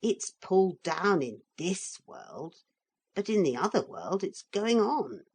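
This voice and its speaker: A woman's voice: fairly low pitch, sing-song, takes it slowly, darkish tone, breathy edge, exaggerated voice. A woman reading a storybook, voicing a small wooden figure come to life who explains things patiently and plainly. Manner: patient, matter-of-fact explaining. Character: calm, wise little wooden man come alive.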